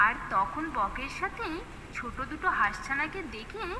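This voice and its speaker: A woman's voice: high-pitched, very animated, moderate pace, distant mic. A woman narrating a scripted children's cartoon story. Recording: echoey, loud music behind.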